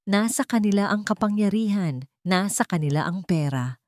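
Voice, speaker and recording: A woman's voice: mid-range voice, lively intonation, normal speed, a little mellow, no echo, clean studio sound, voice pushed up, close to an everyday voice. A woman playing a weary, wounded but determined young billionaire in a romantic drama story. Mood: grim determination.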